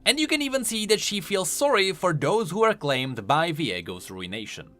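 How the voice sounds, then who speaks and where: Guy doing game lore commentary, very animated, highish voice, soft music under him. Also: normal speed. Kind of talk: scripted explanatory commentary.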